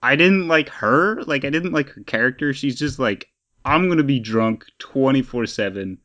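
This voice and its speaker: A man's voice: mid-range voice, expressive, moderate pace, sharp tone, highs cut off. A man co-hosting a casual pop-culture podcast, talking in an opinionated, emphatic and rambling way. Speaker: podcast co-host.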